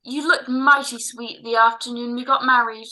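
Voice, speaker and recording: A man acts out a flustered, soft-hearted father of the bride for a classic radio play. The voice is high and very sharp, blustery, tripping over repeated words. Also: flat delivery, normal speed, full character voice, low-quality recording. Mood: fond. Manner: blustering, hesitant with stammered repeats. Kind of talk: old-time American radio drama acting. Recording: online home recording.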